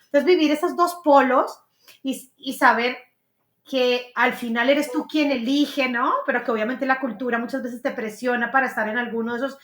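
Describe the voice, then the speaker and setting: Woman, podcast host: bright, chatty delivery, somewhat high voice, roomy echo. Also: steady tone, normal speed. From Colombia. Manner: chatty, searching for words with many restarts. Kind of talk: casual conversation.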